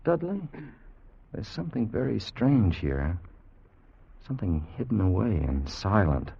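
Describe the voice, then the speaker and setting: An actor playing an anxious, timid time traveller who also narrates, in an earnest, dramatic 1950s radio style. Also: male voice, on the low side, sing-song, measured pace, gravelly, dark tone, husky, exaggerated voice. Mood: uneasy. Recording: dull vintage radio recording with music.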